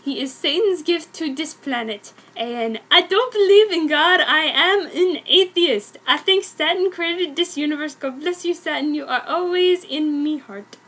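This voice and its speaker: A high-pitched teenage goth vampire girl , played by a woman, tells her own story. She is vain, defiant and self-important, delivering everything with bratty melodrama in a fan fiction reading. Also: measured pace, few pauses, fairly bright tone. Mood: gushing, dramatic.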